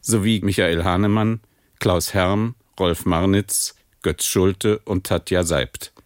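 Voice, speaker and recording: A male announcer reading the credits of a radio drama in a slow, measured, formal way. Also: low-pitched, steady tone, dry recording, studio quality.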